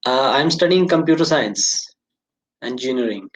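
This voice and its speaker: A man's voice: mid-range voice, animated, normal speed. A young man from India with an Indian English accent, answering in short, hesitant phrases full of fillers while practicing English on a video call. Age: young adult. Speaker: student. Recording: dull lo-fi call audio.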